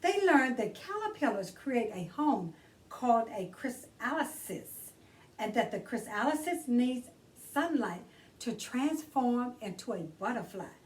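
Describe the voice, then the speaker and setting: A woman hosting a children's storytime, reading aloud expressively and deliberately, drawing out key words for emphasis. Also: fairly high pitch, sing-song, distant mic, low-quality recording. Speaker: children's storytime host and reader.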